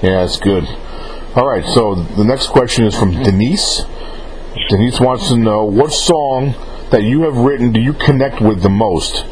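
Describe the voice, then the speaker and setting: Casual male interviewer over clear background noise. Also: medium pitch, a little slow, a little mellow, rough recording. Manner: informal, rambling, often rephrasing questions. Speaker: interviewer relaying fan questions.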